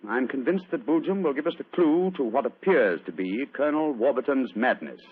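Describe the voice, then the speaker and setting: A man playing a shrewd, courteous gentleman detective in a radio drama, formal and theatrically authoritative. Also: medium pitch, expressive, a little fast, husky. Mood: commanding, explanatory. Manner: hesitant coaxing, formal explaining. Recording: muffled, lo-fi old radio recording.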